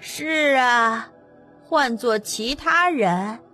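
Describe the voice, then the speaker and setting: A woman voicing a sly, self-assured little boy emperor in a childish, drawn-out voice for an audiobook. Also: fairly high pitch, expressive, bright, forceful tone, soft background music, full character voice. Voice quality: childish, babyish voice.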